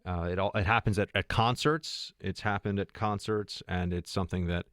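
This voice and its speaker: A man's voice: sits low, fairly even intonation, normal speed, fairly bright tone, dry recording, clean studio sound. A man hosting a talk radio show, talking off the cuff with many fillers and restarts. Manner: extemporaneous, with frequent fillers and restarts. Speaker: radio host.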